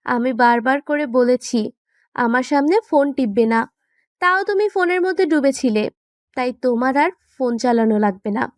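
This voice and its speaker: A woman's voice: high voice, lively intonation, normal speed, dry recording, studio quality. A woman playing a pouty, teasing young woman in love who scolds and complains in a romantic audio story. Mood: teasing, stubborn. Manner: complaining, playfully indignant.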